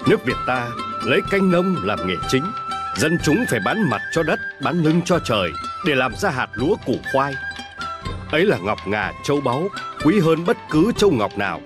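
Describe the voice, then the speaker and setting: Benevolent cartoon king, solemn, formal, very varied mid-range pitch, music behind. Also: big pitch swings. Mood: solemn, ceremonial. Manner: formal, measured declamation. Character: solemn, benevolent adult king addressing his ministers. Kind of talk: animated historical drama dialogue.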